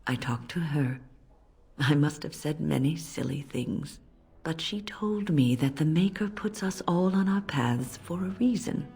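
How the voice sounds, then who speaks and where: Fantasy game mentor: older female mage, deep voice, measured, reflective, gentle but firm. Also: slightly rough, heavily acted. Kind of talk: dramatic game dialogue.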